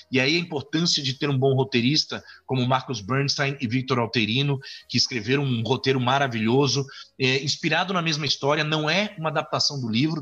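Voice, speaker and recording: Husky, bright-toned Brazilian filmmaker man. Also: mid-range voice. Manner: rambling with many restarts and fillers. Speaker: film director and author. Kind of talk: interview conversation. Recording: dull lo-fi audio.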